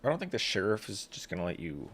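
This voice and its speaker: A man's voice: sits low, big pitch swings, fast talker, fairly bright tone, slight background noise. A man giving casual, skeptical reaction commentary over a video game, in an offhand way. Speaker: gamer commenting on a story quest playthrough.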